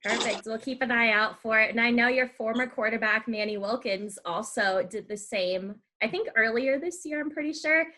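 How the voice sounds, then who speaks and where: A woman's voice: on the high side, normal speed, hardly any pauses, bright, forceful tone. A young woman with an American accent, hosting a casual interview in a chatty, encouraging way. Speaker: podcast host.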